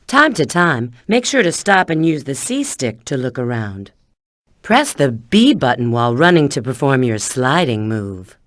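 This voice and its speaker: A woman's voice: rumbling low, sing-song, a little slow, no echo, studio quality, full character voice. A woman voicing a confident, flirty female bat spy and treasure hunter in a video game, with a cool, knowing, instructive manner. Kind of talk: video game character voice acting.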